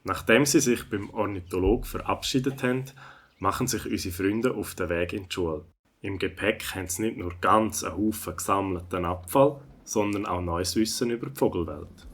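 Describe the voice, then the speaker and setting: Low-voiced Swiss storyteller. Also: male voice, normal speed, breathy edge. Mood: narrating. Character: storyteller narrating a children's adventure. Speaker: narrator. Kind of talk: scripted narration of a children's audio story.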